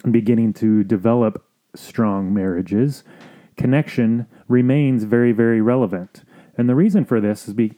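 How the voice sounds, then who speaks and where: A man with an American accent hosting an explanatory podcast as a counselor, talking in a measured, earnest way with pauses. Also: lowish voice, mellow. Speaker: podcast host and family counselor.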